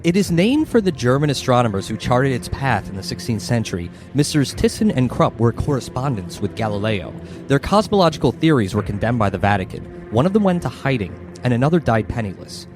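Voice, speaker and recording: A man works as game master for a horror tabletop game, with music clearly audible behind him. He moves between read-out narration and loose, improvised remarks at a middling pitch. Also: very animated, a little fast, few pauses. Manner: mixes read-out narration with casual improvised asides.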